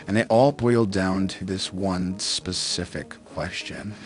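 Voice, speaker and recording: A man's voice: low voice, fairly even intonation, unhurried. A man playing a solemn, secretive wealthy father in an amateur roleplay drama, earnest and grave. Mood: solemn, reflective.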